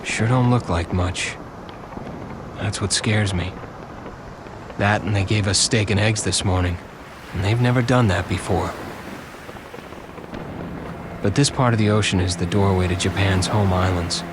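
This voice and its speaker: A man's voice: sits low, steady tone, moderate pace, noisy background, natural voice. An actor playing a weary, reflective young US Marine, with an American accent, narrating his war in a plain, somber way. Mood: uneasy, reflective. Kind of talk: scripted first-person war narration. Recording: loud music behind the voice.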